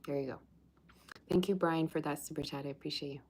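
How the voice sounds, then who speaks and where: Low-voiced chatty woman tarot reader. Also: fairly even intonation, fast, a little mellow, a little hiss or hum. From Russia. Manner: chatty, teasing, sings snatches of old songs. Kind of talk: livestream chat and tarot reading.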